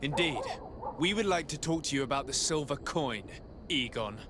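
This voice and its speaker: A man's voice: mid-range voice, lively intonation, normal speed, background noise, lightly acted. A man acting as a stern emissary of a merchant state in a video game, speaking formally and with measure.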